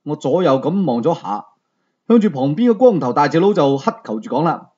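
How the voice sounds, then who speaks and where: Male audiobook narrator, Hong Kong Cantonese accent: mellow tone, animated delivery, conversational first-person storytelling. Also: fairly high pitch, a little fast, husky, highs cut off.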